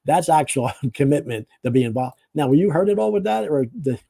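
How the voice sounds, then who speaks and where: Mellow-voiced man hosting an interview. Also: fairly high pitch, animated, a little fast, creaky, no echo. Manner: rambling, friendly questions with false starts. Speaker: host. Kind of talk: casual interview chat.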